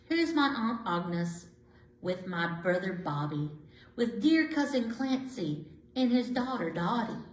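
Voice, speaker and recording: Kindly elderly storybook woman female reader acting, gentle, content, highly melodic delivery, roomy sound. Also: very animated, takes it slowly. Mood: fond reminiscing. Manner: gentle, reassuring, reminiscing. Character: kindly elderly woman, lonely yet content, comforting a cat. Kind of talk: rhyming picture-book storytelling. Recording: roomy, echoey indoor sound.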